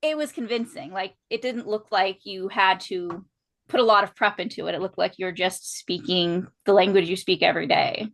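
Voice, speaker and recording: Female interview host, middle pitch, friendly and curious in her questions. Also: normal speed, vocal fry, fairly bright tone. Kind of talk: casual interview chat.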